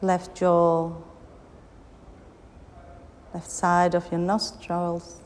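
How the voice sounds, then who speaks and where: Slow-paced woman guiding meditation, long reverb. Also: lowish voice, completely flat delivery. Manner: soothing, unhurried instructions with long pauses. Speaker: yoga nidra meditation guide. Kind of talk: guided meditation. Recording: long reverberant hall, background noise.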